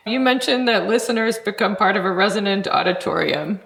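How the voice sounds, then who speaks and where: A woman's voice: medium pitch, animated, brisk, creaky, a bit bright. A woman hosting an interview, asking thoughtful questions. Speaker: interviewer, podcast host. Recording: roomy, echoing sound.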